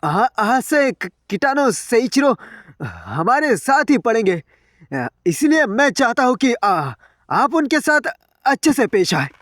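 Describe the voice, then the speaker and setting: A man voicing panicky, excitable school characters in a Hindi anime fan dub, with a dramatic, stammering delivery. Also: very high voice, slow, no room sound, studio quality, exaggerated voice. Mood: nervous.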